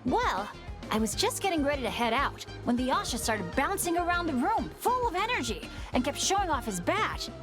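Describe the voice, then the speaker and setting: An actress voicing a cheerful, teasing young-sounding video game woman who tells stories in an animated way. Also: high voice, normal speed. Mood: amused storytelling. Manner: animated storytelling. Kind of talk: video game dialogue acting. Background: music.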